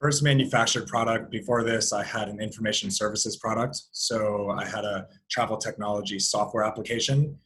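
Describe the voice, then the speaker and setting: A man from Florida talks conversationally as an interview guest. His voice is fairly low and husky, with very little movement in pitch. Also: steady tone, brisk, few pauses, a bit bright. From Florida, United States. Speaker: interview guest, company founder.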